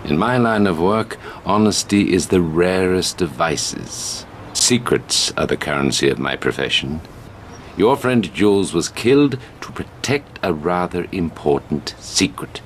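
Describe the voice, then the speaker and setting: Very deep, suave British spymaster. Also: male voice, animated, slight background noise. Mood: suave, dry. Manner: measured, formal, wry. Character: suave older British spymaster, dry and calculating. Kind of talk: video game cutscene acting.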